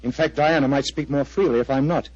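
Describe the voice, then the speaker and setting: A man's voice: medium pitch, fast, slightly rough, natural voice. A man playing an earnest, intense, troubled clergyman in 1940s radio style, formal, fervent and hesitant. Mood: uneasy. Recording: dull, dry 1940s radio recording.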